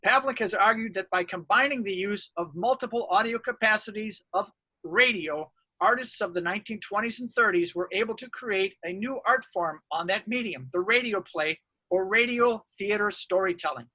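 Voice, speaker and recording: A man's voice: high voice, normal speed, sharp tone, no room sound, low-quality recording. A man reading out a scripted academic research presentation.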